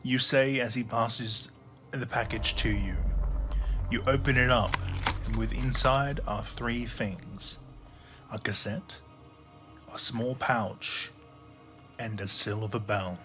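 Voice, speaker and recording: A man narrating a scripted audio drama in the second person, in a measured storytelling manner. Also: medium pitch, music in the background.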